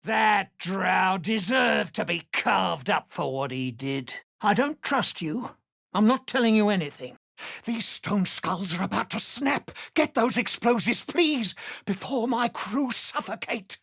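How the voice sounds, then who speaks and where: Gruff, desperate miner, swinging pitch. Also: female voice, expressive, measured pace, fairly bright tone, dry recording, studio quality, heavily acted. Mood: bitter, defiant. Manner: urgent, gruff, emotionally intense. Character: desperate, tough female miner held captive underground. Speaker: video game character voice. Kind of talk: scripted game character lines.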